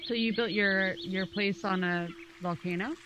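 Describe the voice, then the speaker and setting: A woman's voice: medium pitch, steady tone, a little slow, highs cut off, noisy background. A woman playing a tabletop roleplaying game, bantering casually and slipping in and out of character.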